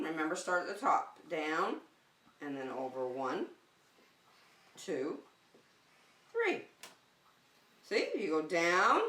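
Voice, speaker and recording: Bright-voiced woman teaching preschoolers, echoey room. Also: medium pitch. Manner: simple, encouraging instruction addressed to young children. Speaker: preschool teacher hosting circle time. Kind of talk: children's lesson with counting and simple songs. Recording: echoey room, distant microphone.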